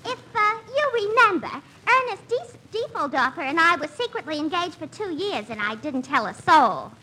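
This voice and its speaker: A woman's voice: extremely high pitch, very animated, normal speed. An actress playing a nosy, nagging single woman in a 1940s radio comedy, with a whiny, indignant manner. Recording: faintly noisy vintage radio recording.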